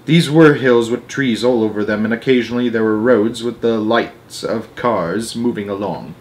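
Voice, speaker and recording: A man narrating a children's book aloud in an expressive way, with dramatic emphasis phrase by phrase. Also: fairly low pitch, moderate pace. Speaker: storyteller.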